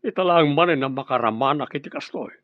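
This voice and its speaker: A man's voice: a little high, a little fast, no room sound, dull audio, close to an everyday voice. An actor playing an easygoing, teasing Filipino family man in an Ilocano radio drama.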